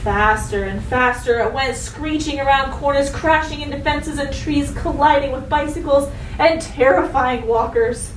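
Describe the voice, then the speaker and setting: A woman teacher reading a story and giving a lesson to children, expressive and instructive, speaking to them directly. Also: high-pitched, moderate pace, hardly any pauses. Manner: expressive, instructive, addresses young listeners directly. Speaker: elementary school teacher. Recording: roomy echo, distant mic, faint noise.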